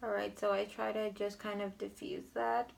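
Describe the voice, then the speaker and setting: A young woman talking through her makeup routine in a slow, rambling way. She is sniffly from a cold. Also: mid-range voice, level tone, creaky. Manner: slow, rambling, filler-heavy commentary while applying makeup. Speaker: beauty vlogger. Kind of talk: makeup tutorial chat.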